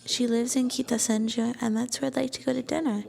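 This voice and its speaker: Woman acting as a shy sweet college girlfriend, high soft breathy voice, mellow n a bit creaky, hesitant whispery asmr roleplay. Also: steady tone, fairly quick. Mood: gentle, musing. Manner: hesitant, gentle, intimate. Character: shy, sweet, affectionate young Japanese university student girlfriend.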